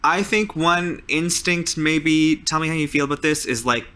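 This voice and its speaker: Bright, forceful man narrating a tabletop game. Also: a little high, normal speed, smooth. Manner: informal, peppered with "like" and "sort of". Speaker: tabletop roleplaying player. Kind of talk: casual improvised game narration.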